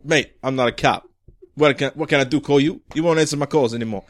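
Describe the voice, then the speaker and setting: A man's voice: medium pitch, quick pace, natural voice. A man playing a shifty male street informant who hoards cats, rambling in wheedling street talk in an improvised comedy scene.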